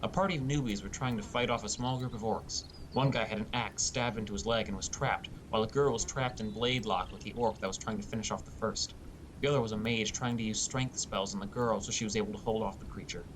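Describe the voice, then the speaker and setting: A man's voice: fairly even intonation, fast talker. A man reading a first-person fantasy story as an audiobook narrator. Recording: rumbly background noise.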